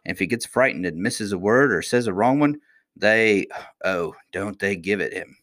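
A man's voice: on the low side, expressive, normal speed, fairly bright tone. A man voicing a cheerful, fearless twelve-year-old miner boy in a storybook, plain-spoken and self-assured. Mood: matter-of-fact, bold.

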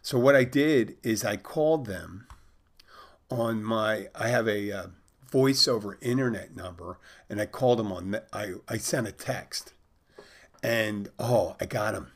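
A man's voice: lowish voice, measured pace. Man telling a rambling, casual anecdote. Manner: rambling, with restarts and asides. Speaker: storyteller recounting trolling a scammer.

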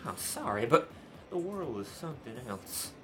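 A man putting on a pompous, sharp-voiced tycoon. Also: medium pitch, sing-song, normal speed, a little hiss or hum. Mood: defiant. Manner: pompous, theatrical, crude, foul-mouthed. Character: pompous, crude, bitter male biscuit-company heir and war veteran. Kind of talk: darkly comedic radio play acting.

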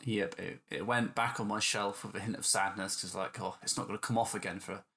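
A man with a fairly low voice talks casually as a guest on a podcast. His delivery is informal and slightly hesitant, like a game master chatting with a friend. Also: fairly even intonation, brisk, vocal fry, pushed and bright.

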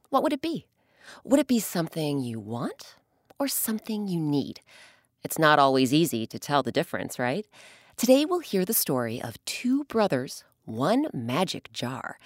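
A woman's voice: on the low side, no echo, clean studio sound. A woman hosting and narrating a children's story, with dramatic, emphatic phrasing. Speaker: host and narrator.

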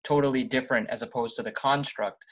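A male interviewer asks his questions in a casual way, pausing with frequent ums. His voice is of ordinary pitch for a man, neither high nor low. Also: fairly even intonation, a bit bright. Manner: hesitant, many ums. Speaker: interview host.

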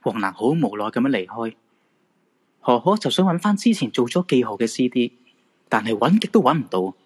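A male narrator telling a radio drama in dramatic scripted style. He has a Hong Kong Cantonese accent, a husky mid-pitched voice and very animated intonation. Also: fairly quick.

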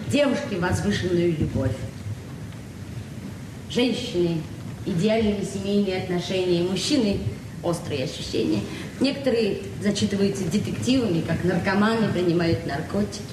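An actress playing an idealistic, open-hearted librarian in her forties in a Soviet radio play, speaking earnestly with searching pauses. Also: medium pitch, close to an everyday voice. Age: 40s. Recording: roomy, distant, noisy 1970s radio recording.

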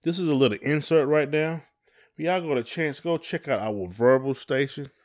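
A man's voice: fairly high pitch, moderate pace. A man co-hosting a podcast, talking casually in folksy, colloquial phrasing. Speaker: podcast co-host. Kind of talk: casual conversation.

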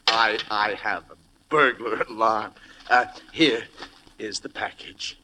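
An actor playing a polite, shifty diamond merchant in a 1940s radio crime drama, with hesitant, formal, precise speech. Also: male voice, lowish voice, slow. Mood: evasive, courteous. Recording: noisy vintage radio recording.

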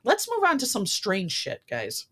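A woman's voice: mid-range voice, wildly swooping pitch, measured pace, fairly bright tone, husky. A woman hosting a casual talk show, reading out odd news stories and dropping in informal asides.

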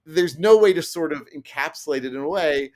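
A man's voice: on the high side, a little fast, a little mellow. A middle-aged man being interviewed as a guest, explaining his work as a trainer in a casual, self-deprecating way with illustrative asides.

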